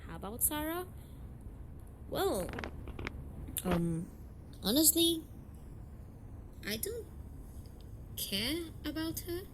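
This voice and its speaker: A young woman playing a blunt, defensive tsundere delinquent girlfriend in an amateur romantic audio roleplay, speaking haltingly and swearing. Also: high voice, sing-song, background noise, full character voice. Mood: flustered, dismissive. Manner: hesitant, halting, foul-mouthed, defensive.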